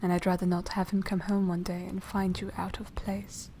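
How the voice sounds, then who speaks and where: A woman playing a polite, secretive young noble vampire lady in a soft, half-whispered ASMR roleplay, speaking formally and with measured care. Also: medium pitch, little pitch movement, a little hiss or hum, close to an everyday voice. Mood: politely firm. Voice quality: soft, breathy whispering edge. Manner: hushed, formal, measured, genteel.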